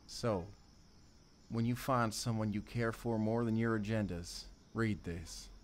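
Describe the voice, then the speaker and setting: An actor playing a remorseful drifter confessing a violent past in audio theater, speaking haltingly and broodingly. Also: male voice, fairly low pitch, slight background noise, natural voice. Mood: grave, hushed.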